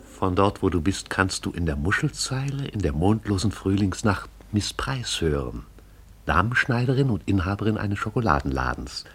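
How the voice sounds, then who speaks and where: A man narrating a radio play in a lyrical, measured way. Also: low voice, sing-song, a bit bright, breathy edge. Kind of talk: poetic radio drama narration.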